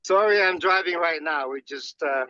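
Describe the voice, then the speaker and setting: A man from the Philippines calling in from his car, talking casually and haltingly with many fillers and restarts. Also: fairly high pitch, bright, forceful tone, dry recording. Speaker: guest on a call. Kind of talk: casual chat. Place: in a moving car. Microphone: phone call. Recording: dull lo-fi call audio.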